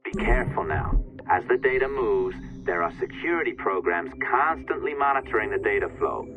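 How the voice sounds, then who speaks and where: A man's voice: lowish voice, expressive, moderate pace, phone-quality sound, noisy background. An actor playing a sly, cocky blackmailer in a video game, mocking and sardonic as he gives orders. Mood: mocking, instructing. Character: sly male blackmailer, cocky, taunting conspirator.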